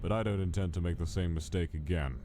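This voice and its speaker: A man voices a skeleton scientist in a comic dub, very low and calm. He speaks formally and with clinical detachment, menace under every word. Loud music plays behind him. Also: fast, lightly acted. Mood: cold menace. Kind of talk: scripted dramatic voice acting for a comic dub.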